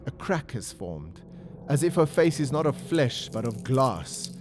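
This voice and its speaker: A man giving solemn, measured, scripted narration for a dramatic audio story. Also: medium pitch, very animated, heavy background noise. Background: music and ambience.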